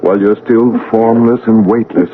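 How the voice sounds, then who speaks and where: An actor playing a calm, commanding guide between life and death, measured and authoritative, in an old-time radio drama. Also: male voice, lowish voice, natural voice. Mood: firm, commanding. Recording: narrow-band, noisy old radio broadcast.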